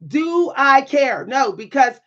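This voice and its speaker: A woman with a deep, at times loud voice, speaking in a bold, sassy way with dry humor and repeating phrases for emphasis in a motivational monologue. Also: sing-song, slow pace, lo-fi sound. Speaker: spiritual content creator and writer.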